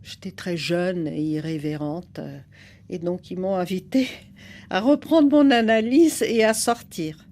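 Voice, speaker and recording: Older woman, measured slow speech, interview guest. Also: on the low side, darkish tone. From Brazil. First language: Portuguese. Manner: thoughtful, unhurried, laughs now and then. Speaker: guest, psychoanalyst and writer. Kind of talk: relaxed interview conversation.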